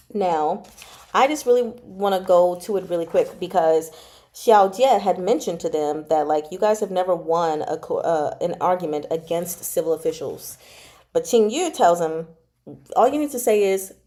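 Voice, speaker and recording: A woman chatting casually as she retells a story, in an animated, giggly, slangy way that often wanders off track. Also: mid-range voice. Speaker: book club vlogger. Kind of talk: casual chat retelling a novel.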